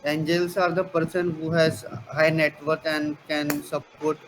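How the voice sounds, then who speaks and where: A man's voice: fairly high pitch, little pitch movement, unhurried, poor audio. A young man chipping into a casual group discussion. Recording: clear background traffic noise.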